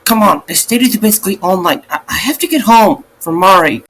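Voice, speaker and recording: A man's voice: high-pitched, expressive, a little fast, fairly bright tone, breathy edge, full character voice, rough recording. A man playing a jumpy, apologetic former security guard in a fan audio drama, hesitant and stammering. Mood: nervous pleading.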